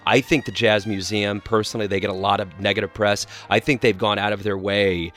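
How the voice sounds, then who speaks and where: A man's voice: on the low side, fairly even intonation, brisk, a bit bright, soft background music. A man hosting a radio show, chatting casually and enthusiastically, rambling and restarting his sentences.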